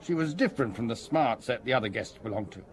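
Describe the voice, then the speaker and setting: Male actor as dogged detective, audio drama, mid-pitched voice, methodical, exact, deferential. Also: expressive, fast talker, slight background noise, lightly acted. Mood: matter-of-fact. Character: dogged, precise police inspector reporting to his chief.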